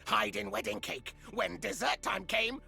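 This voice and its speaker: A woman voicing a manic, murderous wind-up toy in a game, with a shrill, creaky voice and gleeful cackling over music. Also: steady tone, unhurried, sharp tone, exaggerated voice. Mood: gleeful, menacing. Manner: gleeful cackling, exclaiming in short bursts.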